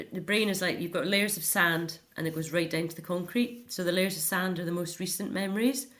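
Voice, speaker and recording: A middle-aged woman speaking earnestly and emphatically as a guest in a reflective personal interview. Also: on the low side.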